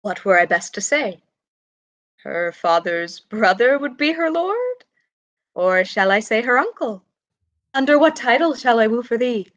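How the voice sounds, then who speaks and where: A woman's voice: on the high side, expressive, unhurried, a bit bright, lo-fi sound. A young woman playing a bereaved, scornful queen in a Shakespearean radio play, speaking formal verse with biting sarcasm. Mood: mocking. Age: young adult. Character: bereaved mother queen, bitter, scornful and grieving. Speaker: student actor.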